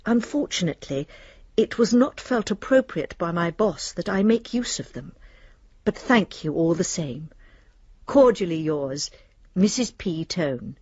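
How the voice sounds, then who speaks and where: Prim, husky female clerk. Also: on the low side, animated, darkish tone, dry recording, dull audio. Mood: politely reserved. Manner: prim, businesslike, measured. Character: formal, politely reserved female bank clerk. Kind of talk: radio drama, reading letters aloud.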